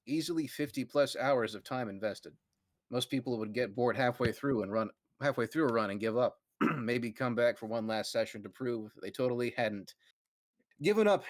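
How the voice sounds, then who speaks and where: A man's voice: mid-range voice, no room sound. A man reading a fan fiction aloud on a stream with friends in a conversational way, breaking for amused asides.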